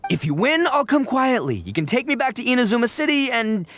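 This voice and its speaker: An actor playing a brash, boastful young oni man in a video game, swaggering and boisterous with booming laughs. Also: sing-song, brisk, fairly bright tone, soft background music, exaggerated voice.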